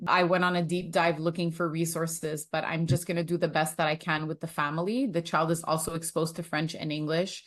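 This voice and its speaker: Lower-pitched woman hosting. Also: flat delivery, brisk, few pauses. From Quebec, Canada. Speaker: host, speech-language pathologist. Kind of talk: casual conversational interview.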